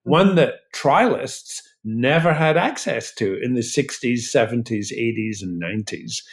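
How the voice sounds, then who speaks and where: Man, mid-pitched voice, expert interview guest, articulate, explanatory delivery. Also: animated, a little slow. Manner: articulate, explanatory, builds points with examples. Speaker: guest medical researcher.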